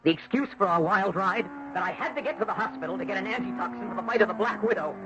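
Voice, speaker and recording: An actor playing a tormented, deluded husband in an anguished, dramatic radio drama style. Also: male voice, sits high, fast, music in the background, lightly acted. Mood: desperate, haunted. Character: tormented, deluded husband convinced of the devil's hand. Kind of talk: 1970s radio drama acting. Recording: dull, noisy old radio recording.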